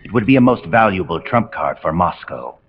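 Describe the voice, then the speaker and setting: An actor playing a cold, commanding rogue officer in a video game, speaking in measured, imperious monologues. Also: male voice, sits low, animated. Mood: calm, lecturing. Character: cold, commanding male rogue officer, grandiose engineered super-soldier. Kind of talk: dramatic video game voice acting. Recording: dull compressed game audio under loud music.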